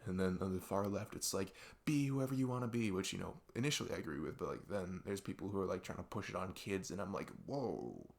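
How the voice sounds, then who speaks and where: A man playing an earnest male best friend in an audio roleplay, confiding in a casual, rambling, halting way with lots of filler words. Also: sits low, gravelly. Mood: exasperated, ranting. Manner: rambling, halting, filler-heavy, laughs self-consciously. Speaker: ASMR audio roleplay performer. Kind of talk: casual improvised-sounding roleplay monologue addressed to the listener.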